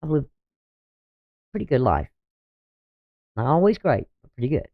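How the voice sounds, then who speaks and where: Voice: mid-range voice, sing-song, normal speed, narrow, tinny audio. An astrologer in their sixties talking casually through a daily chart reading, rambling and hesitant, with many ums and restarts. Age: 60s.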